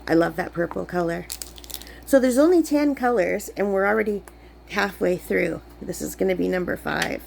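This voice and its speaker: Woman vlogger, under the weather, rambling chat, gravelly fry. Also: fairly low pitch, moderate pace, darkish tone, slight background noise. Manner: chatty, rambling, many fillers. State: sick, voice lower than usual. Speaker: crafting vlogger. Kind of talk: casual chat while crafting. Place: camp cabin indoors.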